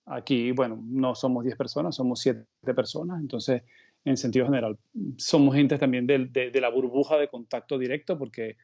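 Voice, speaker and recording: A middle-aged Venezuelan man talking as an interview guest in a relaxed, meandering way, thinking aloud mid-sentence. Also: medium pitch, moderate pace, lo-fi sound. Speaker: guest illustrator. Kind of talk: casual conversational interview. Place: remote call from home abroad.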